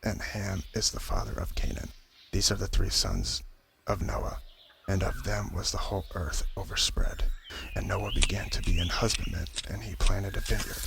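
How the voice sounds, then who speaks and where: A man narrating scripture in an ASMR-style Bible audio drama, with a creaky voice and a halting way with names. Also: fairly even intonation, background noise. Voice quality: low, creaky voice. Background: music.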